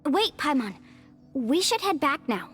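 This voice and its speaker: A woman's voice: sits high, sing-song, a little slow, a bit bright, soft background music, full character voice. A young woman playing a confident, caring adventure guide and surfer in a video game. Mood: firm, serious.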